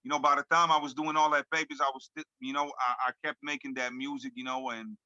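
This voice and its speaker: A man's voice: mid-range voice, flat delivery, fast, sharp tone, no echo. A man in his thirties, a hip hop artist as guest, talking in a laid-back slangy way full of fillers over a video call. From born in France, grew up in Lebanon. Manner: laid-back slangy talk, many "you know what I'm saying" fillers. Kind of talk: casual video call interview. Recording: dull lo-fi video call audio.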